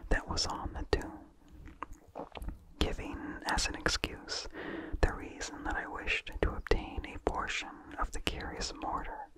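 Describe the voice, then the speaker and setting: A person reading a vintage horror story as ASMR in an unvoiced whisper, slowly and deliberately, in short phrases with pauses. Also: rough recording. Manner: slow, deliberate, pausing between short phrases. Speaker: narrator.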